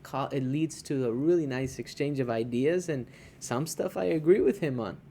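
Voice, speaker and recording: A man co-hosts a podcast in casual chat. His voice sits at a middle pitch with a warm, mellow tone. He talks conversationally, often checks in with his partner and restarts his sentences as he goes. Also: normal speed. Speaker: podcast co-host.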